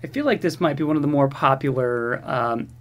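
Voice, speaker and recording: A man's voice: mid-range voice, brisk. A man giving casual movie review commentary in a rambling, slangy, sarcastic way. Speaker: video host reviewing horror movies.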